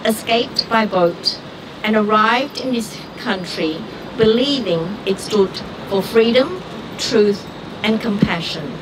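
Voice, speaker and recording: A woman from Vietnam, giving an earnest, deliberate prepared speech at an outdoor rally. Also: medium pitch, lively intonation. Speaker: rally speaker, doctor. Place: outdoor street gathering. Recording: far-off street recording with traffic noise.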